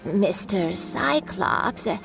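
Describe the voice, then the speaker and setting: A woman voicing a kindly old granny shopkeeper in a video game, gentle and deliberate. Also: medium pitch, expressive, creaky, over loud music. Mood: doubtful, businesslike. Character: kindly old granny kite maker and vendor. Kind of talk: video game character acting.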